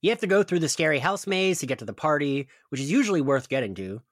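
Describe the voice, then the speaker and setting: A man's voice: a little high, very animated, fast talker, no echo. A laconic young college man with a wry streak, read by a man at a table read. It is recorded very dry. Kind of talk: table read of a TV comedy-horror script.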